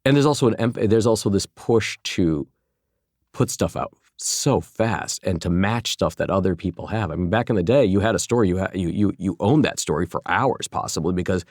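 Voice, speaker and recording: A man in his forties from Chicago, speaking as a guest in a relaxed interview. His voice sits very low, and he works through his thoughts with restarts and little fillers. The recording is close and dry, with no room sound. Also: normal speed, no echo. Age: 40s. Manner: thoughtful, many restarts and "you know" fillers. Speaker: guest, former journalist turned food entrepreneur. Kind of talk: casual interview conversation.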